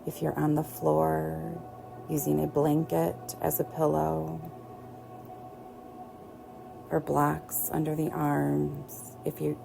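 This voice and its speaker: A woman teaching yoga nidra, guiding relaxation in a gentle, soothing way with long pauses. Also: low-pitched, completely flat delivery, vocal fry, poor audio. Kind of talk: guided relaxation meditation. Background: soft background music.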